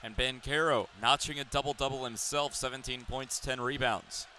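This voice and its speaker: Male basketball color commentator, medium pitch, sharp bright tone, live radio asides. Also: steady tone, normal speed. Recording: live broadcast with arena noise. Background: basketball arena ambience, ball bounces.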